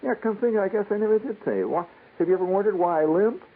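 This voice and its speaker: A man's voice: high voice, fast, narrow, tinny audio, pitched above the performer's own voice. An American man voicing a chatty male buddy who offhandedly recalls his old exploits in a radio story. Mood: offhand. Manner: offhand, rambling, matter-of-fact. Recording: thin band-limited old radio broadcast.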